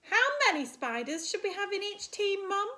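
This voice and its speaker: A woman's voice: extremely high pitch, expressive, normal speed, pushed and bright, pitched above the performer's own voice, exaggerated voice. A woman voicing a curious, earnest and wistful little girl spider in a children's storybook. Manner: earnest, questioning, plaintive.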